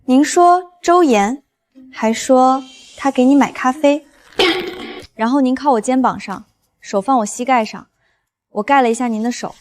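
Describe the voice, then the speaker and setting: A woman playing a smitten, easily flustered young law-firm worker, earnest and softly probing in acted drama dialogue and inner monologue. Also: sits high, unhurried, pauses often. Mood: gently probing.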